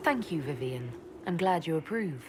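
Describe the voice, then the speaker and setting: An actress voicing a composed, diplomatic elf mage leader in a video game, with measured, polite, cool delivery. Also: female voice, deep voice, expressive, noisy background.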